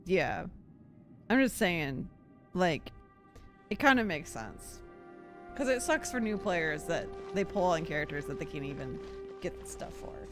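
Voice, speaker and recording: A young adult woman streamer chatting casually over gameplay, informal and opinionated, thinking out loud. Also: on the low side, very animated, unhurried, long pauses, faint music behind. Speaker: game streamer. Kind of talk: casual live gameplay chat.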